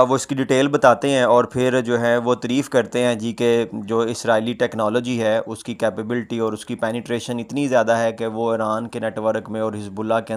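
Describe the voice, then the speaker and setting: A man's voice: medium pitch, smooth. Male news commentator, mid-range voice, conversational explainer delivery with habitual filler phrases.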